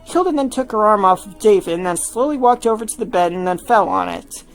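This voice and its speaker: A woman narrates fan fiction from a script at a middle pitch. Music plays behind her reading. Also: expressive, brisk, low-quality recording.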